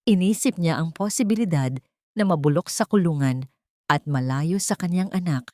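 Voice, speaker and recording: A woman's voice: on the low side, lively intonation, moderate pace, mellow, dry recording, clean studio sound. A woman storyteller reading a scripted dramatic story as narration.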